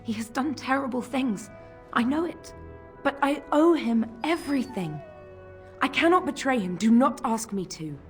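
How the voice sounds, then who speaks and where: A woman's voice: high-pitched, animated, normal speed, faint music behind. A woman playing a loyal but conflicted lieutenant in a dramatic video game, speaking earnestly and formally. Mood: pleading, anguished. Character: loyal, torn female lieutenant defending her lord.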